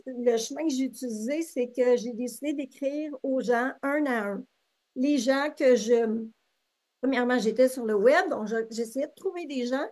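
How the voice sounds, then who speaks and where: High-pitched Québécois woman chatting. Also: a little mellow. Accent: Québécois French. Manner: chatty, many fillers and restarts. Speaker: interview guest. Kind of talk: casual interview conversation.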